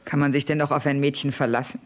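A woman's voice: extremely low pitch, level tone, fast talker. An actress playing a haughty, suspicious mistress hiring a maid in a German radio play, condescending and curt in her questioning. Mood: probing, haughty.

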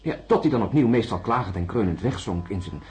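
A man playing a halting, shaken astronaut. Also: lowish voice, lively intonation, a little mellow, natural voice. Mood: recounting. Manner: hesitant, halting. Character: shaken male astronaut recounting a jungle ordeal. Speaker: astronaut in radio play. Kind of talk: radio play acting.

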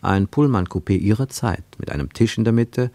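Radio drama narrator: low register, measured descriptive delivery of scripted travel prose, dry studio. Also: male voice, lively intonation, warm tone, no echo. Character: male literary narrator of a Moscow travel account.

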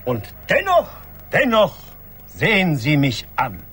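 A man's voice: fairly high pitch, wildly swooping pitch, slow pace, fairly bright tone, breathy edge, faint music behind, full character voice. An actor in a German radio play playing a pedantic, self-righteous bureaucrat who scolds his friend in a pompous, emphatic way. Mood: pompous, lecturing.

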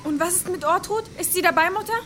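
A woman's voice: very high voice, fast talker, bright, forceful tone, slight background noise. A woman playing a distracted, evasive young village daughter in a German audio drama, hesitant in her speech.

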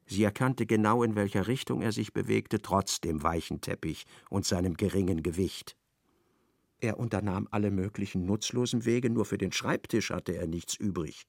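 A man narrating a literary radio play in a measured, precise storytelling manner. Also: fairly low pitch, vocal fry, no room sound, studio quality.

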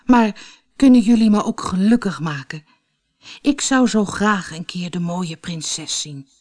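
A woman's voice: medium pitch, unhurried, a little mellow, natural voice. A woman voicing a young male soldier in a storybook, poor, hopeful and lovestruck, speaking eagerly and expressively. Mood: hopeful, wistful. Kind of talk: storybook character voice.

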